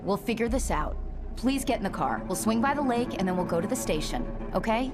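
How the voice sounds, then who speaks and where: An actress playing a calm, practical small-town sheriff in a game, steady, reassuring and authoritative. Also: female voice, medium pitch, brisk, music in the background, lightly acted. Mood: calm, concerned. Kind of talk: dramatic game dialogue.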